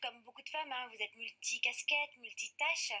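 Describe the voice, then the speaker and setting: A woman's voice: fairly high pitch, normal speed, sharp tone. A woman hosting a TV studio interview, asking conversational, leading questions. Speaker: TV host.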